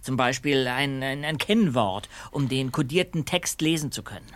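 An actor playing a questioning, quick-witted young male detective in a German audio drama. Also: medium pitch, expressive, lightly acted. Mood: explaining.

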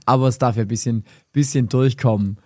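Man, swabian accent, podcast host, strained voice, slangy, energetic, dry close sound. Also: medium pitch, fairly quick, no echo. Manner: energetic, laughs often, slangy. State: strained voice after a busy weekend. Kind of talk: casual chat.

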